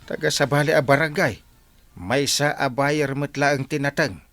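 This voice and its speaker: A man's voice: fairly high pitch, moderate pace, a bit bright, dry recording, faint music behind. A man playing a folksy village captain who hems and gives advice in a radio comedy drama, with an Ilocano accent. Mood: hesitant.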